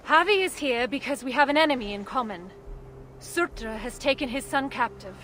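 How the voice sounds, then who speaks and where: A woman's voice: high-pitched, animated, moderate pace, a bit bright. A woman playing an earnest, grateful dwarf ally in a video game, sincere and direct. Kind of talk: video game cutscene acting. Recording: faint ambient noise.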